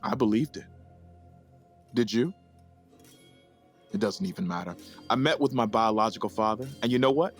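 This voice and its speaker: An actor playing an earnest, conciliatory adult brother who gets exasperated, in an audio drama. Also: male voice, lowish voice, normal speed, pauses often, soft background music, close to an everyday voice. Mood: earnest, pleading.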